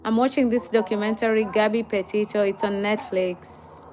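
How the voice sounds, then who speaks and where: A woman's voice: mid-range voice, fairly even intonation, moderate pace, a little mellow, a little hiss or hum. A tired young woman talking casually on a livestream, greeting viewers and reading out their comments.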